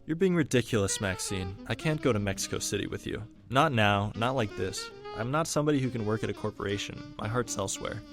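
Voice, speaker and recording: A man's voice: lowish voice, animated, fairly quick, hardly any pauses, over music. A young man playing an aloof, romantic student who dreams of jazz radio, understated and wry, in an amateur radio drama. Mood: dismissive.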